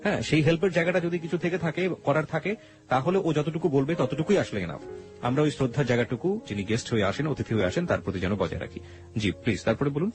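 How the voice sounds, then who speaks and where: A man's voice: on the high side, expressive, fast talker. A man with a Bangladeshi accent hosts a radio interview, questioning his guest and politely explaining things to listeners. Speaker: radio show host. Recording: prominent music under the voice. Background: background music.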